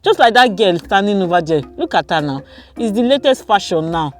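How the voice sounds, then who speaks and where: A woman voicing an affectionate, excitable teenage village girl in a storybook tale. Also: mid-range voice, fairly quick, soft background music, natural voice. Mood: gossipy.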